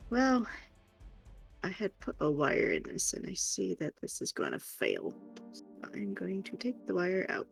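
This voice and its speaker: A woman co-hosts a casual livestream in a mid-pitched voice with plenty of creak. Her delivery is wry and playful. Soft music sits behind her. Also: wildly swooping pitch, unhurried, poor audio. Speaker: streamer's wife and co-host.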